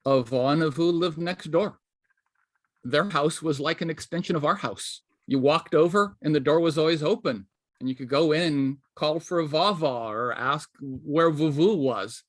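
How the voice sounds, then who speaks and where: A man on a panel, a writer, recalling his childhood in a relaxed, measured way. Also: a little high, dry recording. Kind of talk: personal storytelling on a panel.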